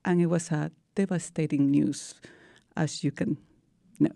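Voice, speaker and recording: Peruvian-accented woman, low gravelly voice. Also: fairly even intonation, unhurried, warm tone, dry recording, clean studio sound. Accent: Peruvian Spanish. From Peru. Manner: earnest storytelling with non-native English phrasing. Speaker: guest. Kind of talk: radio interview.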